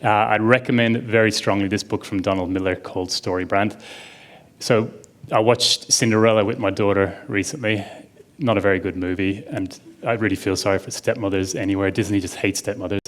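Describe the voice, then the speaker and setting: A man's voice: on the low side, steady tone, fairly quick. Chatty man giving a conference talk. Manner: chatty and enthusiastic, lots of "like". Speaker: conference speaker. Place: conference stage before an audience.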